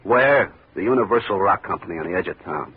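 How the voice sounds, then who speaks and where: A man playing a sullen hired hoodlum in a 1950 radio drama, talking in terse, gruff, defiant bursts. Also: low-pitched, expressive, fast talker. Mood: defiant, cracking. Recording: noisy, tinny old radio recording.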